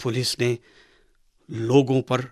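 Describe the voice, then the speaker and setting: A man playing a patient father who teaches his son in a measured, didactic way, with dramatic pauses, in a radio drama. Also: medium pitch, level tone, heavy background noise, natural voice. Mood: earnest. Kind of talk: Hindi radio drama acting.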